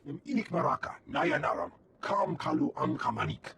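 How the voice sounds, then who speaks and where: A heavily creaking, guttural male alien in a video game, talking in made-up alien syllables. Faint noisy ambience sits under the voice. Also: a little high, very animated, moderate pace, fairly bright tone, exaggerated voice. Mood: matter-of-fact. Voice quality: gravelly, creaky. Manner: speaks an invented alien tongue.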